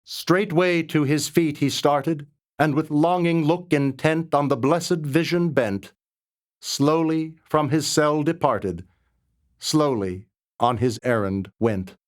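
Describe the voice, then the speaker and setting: A man with a slightly high-pitched voice reads verse aloud at a very slow pace. His delivery is dramatic and reverent, each phrase set apart with deliberate pauses. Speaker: poetry reader. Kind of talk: dramatic, measured poetry recitation.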